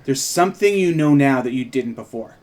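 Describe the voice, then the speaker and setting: A man's voice: medium pitch, animated, brisk, darkish tone, lightly acted. A man reading the part of a self-assured, probing woman reporter at a script table read.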